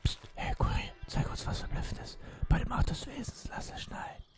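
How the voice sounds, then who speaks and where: A man voicing mysterious, secretive whispering figures in a breathy hush, with faint game music behind. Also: moderate pace, close to an everyday voice.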